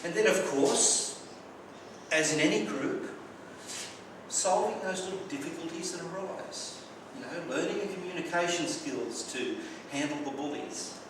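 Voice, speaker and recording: A man's voice: on the high side, a little slow. Australian man telling stories in an echoey hall. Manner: anecdotal, wry humour, occasional stumbling repeats. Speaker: club speaker. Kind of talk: prepared personal speech. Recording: very echoey room, distant mic, clear background noise.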